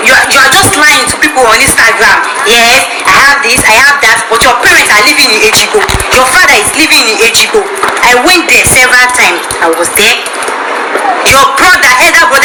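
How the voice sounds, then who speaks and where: A woman shouting and scolding someone in a played clip, repeating herself. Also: high voice. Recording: echoey room with loud music.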